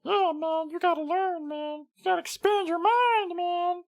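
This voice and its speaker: A man voicing a squeaky, laid-back hippie sidekick who drawls like a slacker in a casual video skit. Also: steady tone, unhurried, creaky, no room sound, studio quality, exaggerated voice. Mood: laid-back advice.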